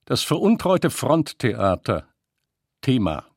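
Slow, husky male title announcer. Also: medium pitch, very animated, a little mellow, no echo, studio quality.

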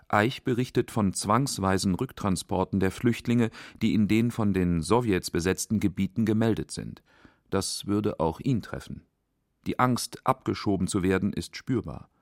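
A man's voice: sits low, dry recording, clean studio sound. A man narrating a scripted radio documentary in a factual, composed way.

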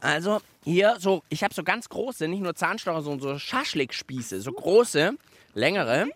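A man's voice: a little high, expressive, measured pace, a bit bright, no echo. A man hosting a children's radio show outdoors among autumn leaves, chatting playfully and explaining what he is doing. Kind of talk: lively radio chat while building.

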